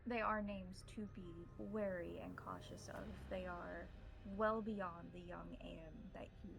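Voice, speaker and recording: A woman speaking as game master in measured, formal phrases, improvising roleplay and narration. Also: lowish voice, steady tone, creaky, background noise.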